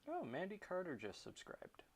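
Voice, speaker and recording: A man's voice: high-pitched, huge pitch swings, normal speed, breathy edge. A young man helping on a livestream, chatting casually from away from the microphone. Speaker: livestream tech helper. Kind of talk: casual off-camera chat. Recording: off-mic, noisy room.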